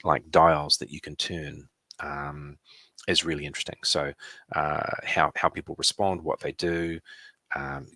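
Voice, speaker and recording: A man with a New Zealand accent giving a conference presentation, relaxed, with many fillers and restarts. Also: a bass voice, dry recording.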